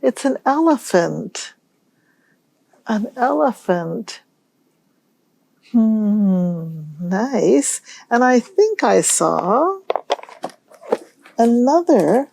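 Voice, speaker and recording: A woman hosting a storytime for young children, reading aloud in a gentle, musing way and pausing to pose questions. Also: on the high side, sing-song, vocal fry. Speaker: children's library storytime host.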